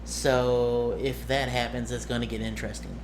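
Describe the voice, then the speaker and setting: A man talking casually to his viewers as a streamer and game master, halting and rambling as he corrects himself. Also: mid-range voice, fairly even intonation, background noise.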